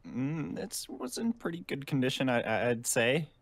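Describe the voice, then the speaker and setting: A man's voice: mid-range voice, very animated, moderate pace, vocal fry, no echo. A man playing a bumbling, evasive young survivor in improvised game roleplay, stammering and hesitant. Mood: sheepish, upbeat.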